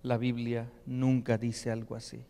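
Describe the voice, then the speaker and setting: A man's voice: medium pitch, monotone, measured pace, warm tone, big-room sound. A man preaching a sermon in earnest, often stopping to ask rhetorical questions. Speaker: pastor. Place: church sanctuary.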